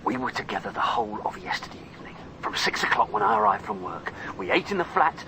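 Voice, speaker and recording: A man playing a controlling schemer in a radio drama, speaking in a commanding, deliberate way. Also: medium pitch, big pitch swings, vocal fry. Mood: cold, insistent. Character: controlling, scheming man coaching his accomplice. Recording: very noisy recording.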